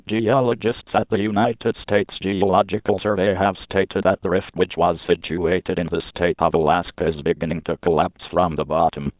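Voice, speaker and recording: Low synthetic male alert voice, phone-like sound. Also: no room sound. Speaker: emergency alert announcer. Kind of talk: scripted emergency broadcast announcement. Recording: muffled phone-like narrowband audio.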